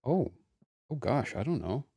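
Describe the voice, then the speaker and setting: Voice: medium pitch, big pitch swings, measured pace, gravelly, warm tone. A casual video game streamer chatting with friends in an easygoing, exclamatory way. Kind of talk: casual gaming chat with friends. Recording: game music behind. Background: video game music.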